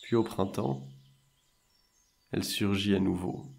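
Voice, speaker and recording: A man guiding a meditation in a soothing, measured way, leaving long pauses between short phrases. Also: low-pitched, fairly even intonation, creaky, darkish tone, breathy edge. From French-speaking Switzerland. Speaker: meditation and hypnosis guide. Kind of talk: guided meditation narration.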